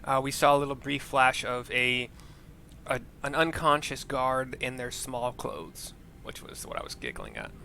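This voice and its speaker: A man's voice: medium pitch, lively intonation, moderate pace, vocal fry, bright, forceful tone, slight background noise. A man giving casual, chatty let's-play commentary as a streamer, loosely describing each scene aloud. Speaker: game streamer, narrator.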